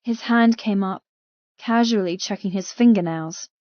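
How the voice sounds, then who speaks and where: A woman's voice: medium pitch, unhurried, no room sound, dull audio. A woman narrating a dramatic first-person novel as a frightened captive. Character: frightened captive young woman telling her story. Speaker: audiobook narrator.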